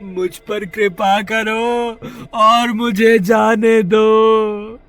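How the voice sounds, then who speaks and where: Man acting a high-voiced panicky villager, music behind. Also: flat delivery, extremely slow, fairly bright tone, smooth, heavily acted. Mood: pleading. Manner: frantic, whiny pleading. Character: panicky, pleading unmarried village man fleeing a ghost. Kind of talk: Hindi horror cartoon character voice.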